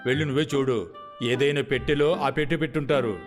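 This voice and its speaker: A man's voice: mid-range voice, fairly even intonation, quick pace, natural voice. A man playing a grumpy, sarcastic, threatening character in a children's cartoon dub. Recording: music under the voice.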